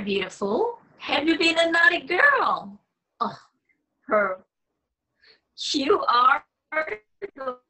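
A woman's voice: high voice, bright, forceful tone, full character voice, poor audio. A woman reading the part of a wary, lonely, sardonic online dater in her late twenties, hesitant and wry, in a film table read. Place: small room at home, video call. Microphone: computer mic on a video call.

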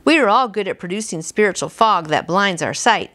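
A woman's voice: mid-range voice, lively intonation, fairly quick, a bit bright. A woman in her fifties hosting a devotional, reading aloud in an earnest, conversational way. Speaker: devotional host. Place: small furnished room at home, indoors.

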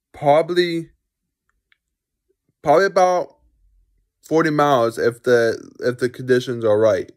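A young adult man giving a casual, unscripted gadget demonstration, halting and often repeating himself. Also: mid-range voice. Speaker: amateur vlogger showing gadgets. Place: small furnished bedroom indoors. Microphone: phone or camera at arm's length.

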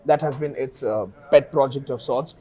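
A man in his thirties, an analyst guest in a news studio interview, speaking in a measured way with many fillers on a lapel mic. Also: medium pitch, mellow.

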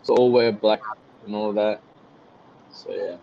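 A young man with an Australian accent, a guest chatting casually on a video call, relaxed and rambling. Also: lowish voice, measured pace, gravelly, slight background noise. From Singapore. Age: young adult. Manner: relaxed, rambling with many likes and you-know-what-I-means. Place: small bright room indoors. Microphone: headset. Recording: dull lo-fi video call audio.

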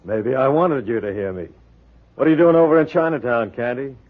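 An actor playing a wary American police detective in a 1940s radio drama, blunt, terse and casual. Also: male voice, medium pitch, brisk, lightly acted. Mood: wary, needling. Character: gruff, wary male police detective, needling. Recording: tinny, phone-like 1940s radio recording.

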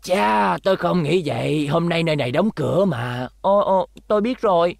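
A man voicing a sly, scheming old scientist with a gravelly voice and coaxing manner in a cartoon dub. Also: on the high side, animated, normal speed, no echo, studio quality. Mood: feigned innocence. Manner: sly, coaxing, chuckling villain.

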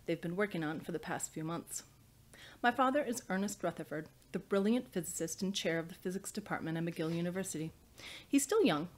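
A woman in her thirties, an author reading and presenting her novel in a small study. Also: on the low side. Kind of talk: audiobook-style reading, scripted narration. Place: small indoor study with bookshelves.

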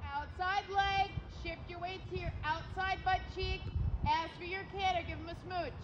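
Riding instructor, woman, short clipped instructions, high-pitched, recorded on a phone in an outdoor arena. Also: steady tone, normal speed. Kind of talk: coaching a riding lesson. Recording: lo-fi audio with loud outdoor noise.

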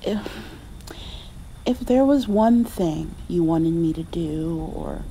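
A gentle, loving mother acted by a woman soothes her heartbroken child in an ASMR roleplay. Her delivery is very unhurried and soft, with a mellow, dark tone, over audible background ambience. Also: on the low side, animated, slight background noise, poor audio. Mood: warm, affectionate. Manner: tender, soothing, sometimes dropping toward a whisper. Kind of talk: ASMR comfort roleplay, slow and hushed with pauses.

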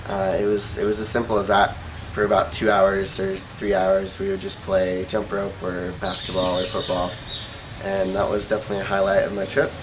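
A young man with an American accent speaks casually, as in a relaxed interview. His voice sits fairly low. He is recorded on an open-air terrace, with clearly audible background noise. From Oregon, United States. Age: young adult. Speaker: volunteer being interviewed. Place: outdoor rooftop terrace.

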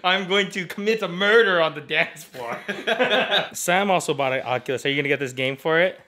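American guy doing a casual vlog, bright punchy voice, slightly high, jokey and informal. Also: lively intonation, moderate pace. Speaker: vlogger, host.

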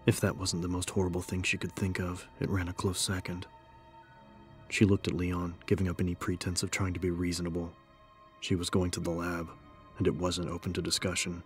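A man's voice: low voice, monotone, a little fast. A man narrating a horror audiobook in a scripted, dramatic style. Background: background music.

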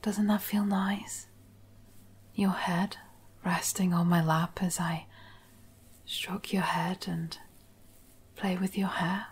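A woman acts out an obsessive female therapist in an audio roleplay. She is slow and breathy, slightly low in pitch, often slipping into whispers. Her manner is soothing and coaxing, with a sly, controlling edge. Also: flat delivery, full character voice. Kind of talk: intimate scripted ASMR roleplay, addressed to the listener.

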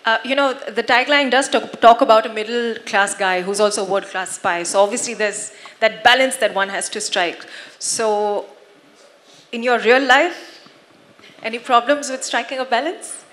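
A woman moderating a press conference, lively as she asks the panel questions. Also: on the high side, moderate pace. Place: large hall.

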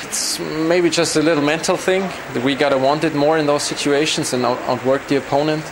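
A young man, a team captain, giving a halting post-game interview in an arena corridor. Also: fairly high pitch, fairly even intonation, noisy background. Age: young adult. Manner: halting, repeating words as he searches. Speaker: ice hockey team captain. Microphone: handheld interview mic.

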